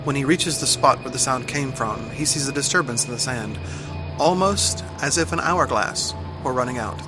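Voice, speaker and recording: A man's voice: medium pitch, normal speed. Male narrator, mid-range voice, scripted adventure storytelling with very prominent music underneath.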